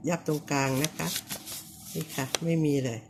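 Older lady w/ a low voice showing a home cleaning trick, polite and repetitive, faint noise behind. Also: fairly even intonation, moderate pace. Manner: polite, repetitive pointing out with soft ending particles. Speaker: vlogger showing how to clean banknotes. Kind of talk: casual how-to demonstration.

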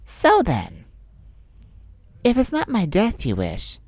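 A woman voicing a flirtatious, cunning male vampire in a slow, soft-spoken, teasing ASMR roleplay. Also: medium pitch, wildly swooping pitch, breathy edge, dry recording, heavily acted. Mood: wry bargaining.

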